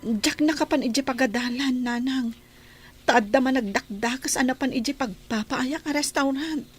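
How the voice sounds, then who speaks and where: A woman's voice: high voice, normal speed, faint music behind. A woman playing an earnest young daughter who recounts events in an audio drama. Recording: clear background noise and ambience.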